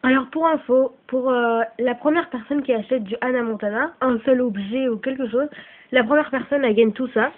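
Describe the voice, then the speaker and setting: A fairly high-pitched woman with a cold talks in a loose, rambling way, filling her pauses with little hesitations and apologies. The sound is tinny and phone-like, with a faint hiss underneath. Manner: rambling, full of "euh" and "voilà", apologetic. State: has a cold, coughing. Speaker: channel host selling her used items. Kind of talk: casual chatty sales video.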